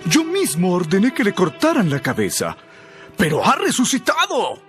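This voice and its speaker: A man's voice: high-pitched, huge pitch swings, moderate pace, a bit bright, breathy edge, faint music behind, heavily acted. Troubled, emphatic king, raised voice. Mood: alarmed. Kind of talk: dramatized Bible audio acting.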